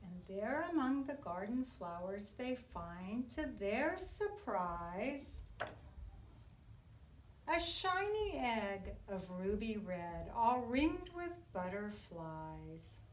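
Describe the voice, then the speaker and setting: A middle-aged woman reads a picture book to young children with a very lively, sing-song delivery. She takes her time over each phrase, like a teacher telling a story. The room echoes around her voice, picked up from a short distance. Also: mid-range voice, extremely slow. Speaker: kindergarten teacher. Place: indoor classroom. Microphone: camera a few feet away. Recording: roomy echo, distant microphone.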